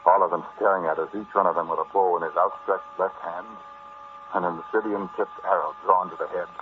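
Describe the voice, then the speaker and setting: Through a muffled, noisy vintage radio recording comes a man acting a callous, megalomaniac treasure thief. His voice is very low, and he speaks haltingly and confidingly, like the narrator of an old horror broadcast. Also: fairly even intonation, close to an everyday voice. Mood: tense narrating. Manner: halting, intimate, addressing a listener directly.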